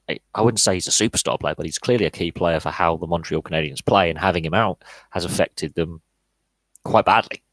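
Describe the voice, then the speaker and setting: A man's voice: sits low, no room sound, low-quality recording. A man in his thirties hosting a live podcast Q&A, talking casually with many fillers and restarts. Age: 30s. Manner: rambling, frequent fillers and restarts. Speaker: podcast host.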